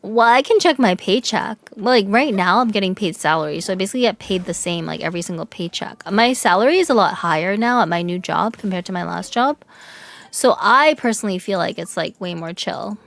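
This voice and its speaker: A young woman streaming a game, chatting casually with her chat, swearing and yelling in excitement now and then. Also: mid-range voice, brisk, vocal fry. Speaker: VTuber streamer. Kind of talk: casual gaming livestream chat.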